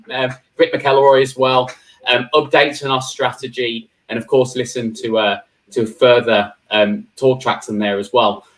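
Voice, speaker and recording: A man hosting a live online roundtable, talking in a loose, chatty way with frequent fillers and restarts. His voice sits at an ordinary middle pitch. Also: a bit bright, poor audio. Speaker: host.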